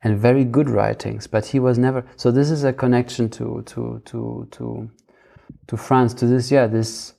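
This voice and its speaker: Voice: medium pitch, flat delivery, normal speed, creaky, warm tone. A person in a casual intellectual conversation, hesitant and searching for words. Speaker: panel discussion participant.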